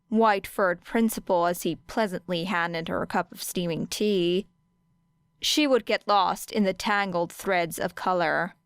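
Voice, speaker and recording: Female story narrator: very unhurried, crisp and resonant, deliberate phrasing, dry sound. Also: mid-range voice, dry recording. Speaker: audiobook narrator. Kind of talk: scripted fiction narration.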